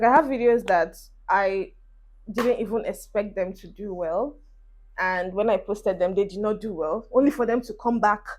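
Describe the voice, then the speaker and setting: A woman in her twenties with a Nigerian accent, chatting to camera in a casual get-ready-with-me vlog, encouraging her viewers while doing her makeup. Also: medium pitch. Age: 20s. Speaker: beauty vlogger. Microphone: phone camera.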